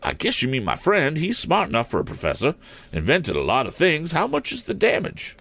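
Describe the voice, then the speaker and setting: Guy doing a plain spoken balloon showman, voice pitched up, halting and folksy, storybook reading. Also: wildly swooping pitch, creaky, fairly bright tone, exaggerated voice. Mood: flustered, modest. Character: plain-spoken, modest male exhibition balloonist.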